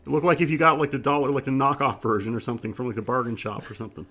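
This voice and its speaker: Halting, profane man in casual podcast chat. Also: medium pitch, level tone, a bit bright. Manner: profane, halting, searching for words. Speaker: podcast co-host. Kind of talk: casual chat, movie review.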